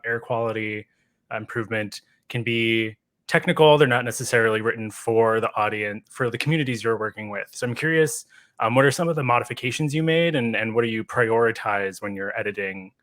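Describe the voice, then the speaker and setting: A man's voice: mid-range voice, a bit bright. A man hosting a podcast, interviewing a guest in a thoughtful, conversational way with tentative phrasing. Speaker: podcast host.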